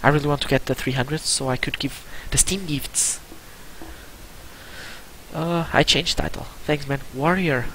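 A man's voice: on the high side, a little slow, long pauses, sharp tone, rough recording. A young man from Portugal with a Portuguese accent, chatting casually as he streams a game. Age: young adult. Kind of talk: casual livestream chat. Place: indoor room. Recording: hissy, game audio behind. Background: video game dialogue.

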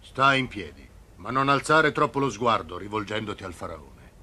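A man playing a burly, stern palace guard captain in a cartoon, speaking in a gruff, admonishing way. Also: fairly low pitch, lively intonation, moderate pace, sharp tone, full character voice. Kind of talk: dubbed cartoon acting.

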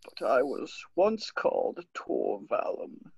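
Ancient alien dark master for sci-fi drama: high, wildly swooping, breathy rasp, slow mocking menace. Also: male voice, big pitch swings, no echo, heavily acted. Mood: cryptic, cold. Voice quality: raspy, breathy, creaky. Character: ancient spindly alien Sith master, seven thousand years old, mocking. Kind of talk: fan table read acting. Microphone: video call. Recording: muffled lo-fi video call.

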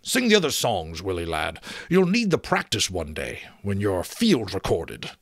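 A man's voice: low-pitched, wildly swooping pitch, unhurried, vocal fry, no echo, studio quality, heavily acted. A man voicing a vain, wistful outlaw captain.

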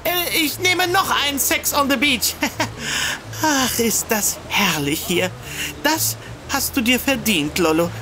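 A woman's voice: high-pitched, expressive, slow pace, sharp tone, faint music behind, exaggerated voice. An actress playing a gleeful, scheming woman who gloats to herself in a comedic audio drama. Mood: gloating.

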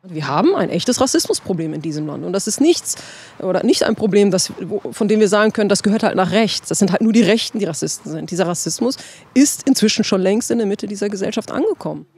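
A woman's voice: medium pitch, animated, fast talker, a little mellow, slightly rough. A woman working as a religious educator speaks outspokenly and emphatically in a news interview clip. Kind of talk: news soundbite.

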